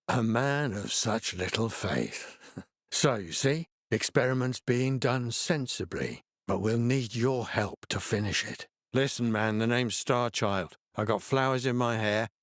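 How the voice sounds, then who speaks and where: An actor playing a laid-back, earnest middle-aged hippie healer in a video game, explaining things in an easygoing way. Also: male voice, lowish voice, slightly rough, no room sound, studio quality, full character voice. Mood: calm, welcoming. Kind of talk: video game character dialogue.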